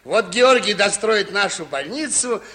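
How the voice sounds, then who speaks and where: Busy farm boss, high bright voice, firm and emphatic, old radio play. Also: male voice, animated, unhurried. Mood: courteous. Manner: emphatic, instructive. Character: busy, firm collective-farm chief, responsible and courteous. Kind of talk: 1950s radio drama acting.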